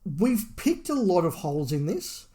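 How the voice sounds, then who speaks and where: A man's voice: sits high, very animated, measured pace, mellow. A man co-hosting a podcast, casually discussing and reviewing with his fellow host.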